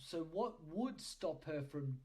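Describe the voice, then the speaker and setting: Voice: mid-range voice, expressive, slow, darkish tone. Playful, teasing podcast host chatting casually.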